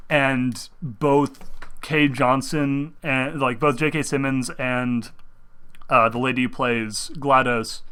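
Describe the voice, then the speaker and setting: A young man talks in a loose, rambling way, often breaking off and restarting mid-thought. His voice is bright and forward, and it jumps up with excitement when an idea grabs him. He is hosting a casual chat about games. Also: mid-range voice, smooth. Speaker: host.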